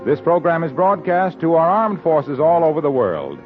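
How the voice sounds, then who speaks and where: Male announcer, vintage 1940s radio, lively intonation, mid pitch, muffled sound. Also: moderate pace, soft background music. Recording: muffled old radio broadcast.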